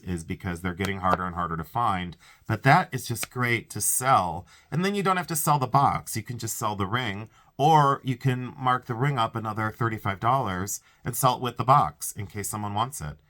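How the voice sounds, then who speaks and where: Man, stuffed-up nasal congestion, rambling casual chat, widely swinging pitch. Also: animated, normal speed, hardly any pauses, a bit bright. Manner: chatty, rambling, self-interrupting, frequent laughs. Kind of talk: casual haul video.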